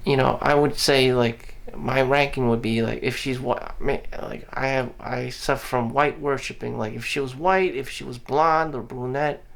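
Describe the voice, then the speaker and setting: A man's voice: medium pitch, fairly even intonation, moderate pace, gravelly, hardly any pauses, a bit bright. A man talking bluntly in a rambling personal monologue for his vlog, full of "you know" fillers, restarts and casual swearing. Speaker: vlogger. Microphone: audio-only recording.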